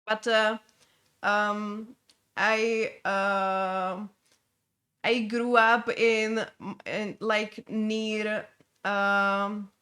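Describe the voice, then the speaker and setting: Slow-talking Czech-accented woman streamer. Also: medium pitch, little pitch movement, pushed and bright, clear, resonant tone. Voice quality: halting, many fillers and restarts. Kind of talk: casual gameplay chat.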